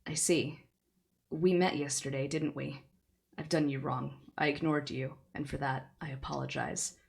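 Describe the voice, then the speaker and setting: A woman voicing a cryptic cloaked androgynous entity who speaks slowly, quietly and gravely. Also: low-pitched, steady tone.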